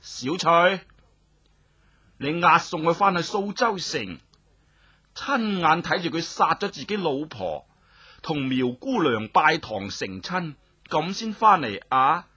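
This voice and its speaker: Audiobook villainess, voiced by the narrator: imperious woman, cold menace, scornful delivery, sharp husky tone. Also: mid-range voice, sing-song, slow pace, full character voice. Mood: coldly commanding. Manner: scornful snorts, commanding, menacing. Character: cold, cruel, imperious noblewoman passing death sentences.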